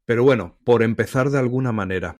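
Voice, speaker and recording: A man acting as interviewer, steering a relaxed conversation with friendly, clear questions. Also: mid-range voice, quick pace, no room sound, studio quality. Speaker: podcast co-host asking the questions.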